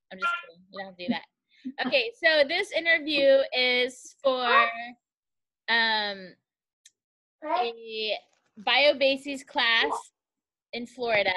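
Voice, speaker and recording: A woman's voice: fairly high pitch, sharp tone, rough recording. A woman interviewing a relative at home in a casual chat. She explains things in a friendly way and laughs easily.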